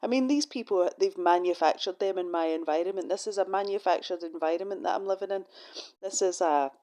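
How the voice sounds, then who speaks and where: A woman's voice: lowish voice, a little fast, warm tone. A middle-aged Scottish woman talking casually to camera from her room in a shared house. She is wry and profane and often restarts her sentences. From Scotland. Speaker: vlogger.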